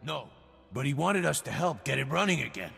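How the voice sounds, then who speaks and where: A grumbling hired gun in a video game. The voice is a little high for a man, raspy and forceful, with rough colloquial talk ringing in long reverb. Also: lively intonation, a little fast, faint music behind, full character voice. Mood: cowed, eager. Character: rough male hired thug, surrendered ex-pirate.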